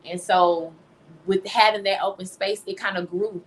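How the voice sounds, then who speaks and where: A woman with a medium-pitched voice talks candidly as a guest in an interview. Her delivery is informal and expressive, and she repeats phrases to drive them home. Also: moderate pace, a little hiss or hum, rough recording. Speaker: interview guest, singer and songwriter.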